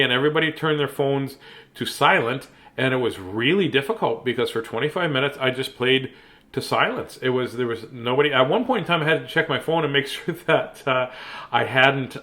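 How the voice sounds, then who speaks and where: A man's voice: mid-range voice, fairly bright tone. A man chatting casually as host of a bedtime story reading, playful and self-deprecating, with joking asides.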